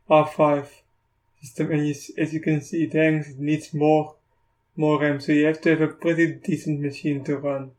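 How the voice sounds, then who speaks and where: A South African man with a slightly raised pitch and a flat, level delivery. He talks through a screen recording in a loose, thinking-aloud way, often breaking off and starting his sentences again. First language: Afrikaans. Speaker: tech YouTuber. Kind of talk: casual screen-recorded software walkthrough.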